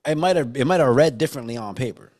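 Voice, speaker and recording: Male podcast host, animated and conversational, casually steering a film discussion among friends. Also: mid-range voice, quick pace.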